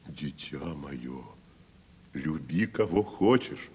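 An actor playing a gloomy, grandiloquent wandering tragedian in a 1970s Soviet radio play, with a declamatory, theatrical delivery. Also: male voice, rumbling low, expressive, slow pace, darkish tone, breathy edge, full character voice. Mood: tender, fervent. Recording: noisy old radio play recording.

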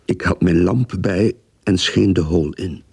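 A man acting a terse detective who narrates his own case in a radio drama, in a gravelly, husky voice. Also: sits low, unhurried, darkish tone, no echo, full character voice. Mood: grim, matter-of-fact.

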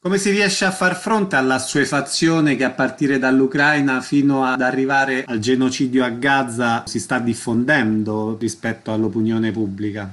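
A man acts as the interviewer. His voice is of average pitch. He delivers prepared lines in a formal, presenter-like style. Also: fairly even intonation, normal speed, few pauses, fairly bright tone. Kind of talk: prepared interview questions and sign-off.

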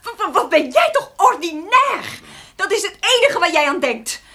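Indignant middle-aged wife, radio drama, very high bright voice, swooping pitch, flustered. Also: female voice, sing-song, moderate pace, full character voice. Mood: flustered, defensive. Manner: defensive, stammering. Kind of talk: radio play acting.

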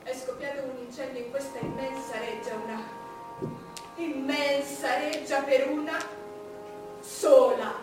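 A woman's voice: high voice, steady tone, a little slow, long pauses. An actress playing an ageing, proud, paranoid and imperious queen in a theatrical stage monologue, with dramatic declamation. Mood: lonely, bitter. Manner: dramatic declamation, swinging from hushed brooding to loud outbursts. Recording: echoey stage, distant mic, loud music behind.

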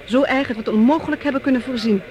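A woman's voice: sits high, racing, a little mellow, close to an everyday voice. A woman playing an earnest, tense doctor posing as a Martian officer in a science fiction radio drama, careful and slightly hesitant. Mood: grave, deferential.

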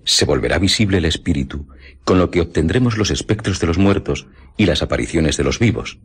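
Very deep, husky man reading expressively. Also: animated, brisk. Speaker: reader of literary quotations. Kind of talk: scripted reading of an essay for radio.

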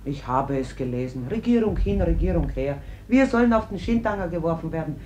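A stern Spanish mother in middle age, defending her household. Her voice sits fairly low and she speaks as in a classic radio play. Clear noise covers the old recording. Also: animated, brisk, dark tone. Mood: stubborn, defensive. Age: middle-aged. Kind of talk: German radio play acting.

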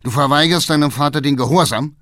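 A male narrator plays an old, domineering patriarch in a dramatic audiobook. He is stern and scornful, shouting in commanding outrage, his pitch pushed up, the sound dry and close. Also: takes it slowly, dry recording, full character voice. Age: older. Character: stern, furious old father, tyrannical Berlin patriarch.

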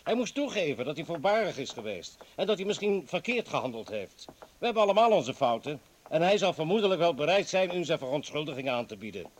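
An actor in a radio play playing an earnest party comrade who pleads insistently and tries to placate. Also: male voice, a little high, big pitch swings, fast. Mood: persuasive. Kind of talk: dramatic radio play acting.

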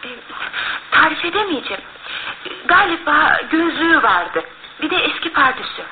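A high-voiced, earnest, polite woman. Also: normal speed. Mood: flustered, earnest. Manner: expressive, polite. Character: polite, earnest woman, concerned and slightly anxious. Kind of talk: radio play acting. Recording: noisy, lo-fi, phone-like old radio broadcast.

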